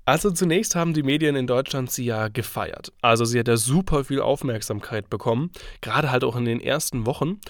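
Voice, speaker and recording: A man with a cold hosts a solo podcast. He sounds stuffy and congested and talks in a loose, thinking-aloud way with fillers. His voice is mid-pitched, and the recording is dry and close with no room sound. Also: fairly quick, few pauses, no room sound. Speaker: podcast host.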